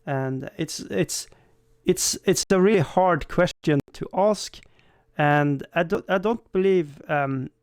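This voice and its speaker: A man's voice: on the high side, darkish tone, no echo, clean studio sound. A young man, an English speaker, hosting a casual livestream, rambling with many stammered restarts and self-deprecating laughs. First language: Swedish. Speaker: livestream host.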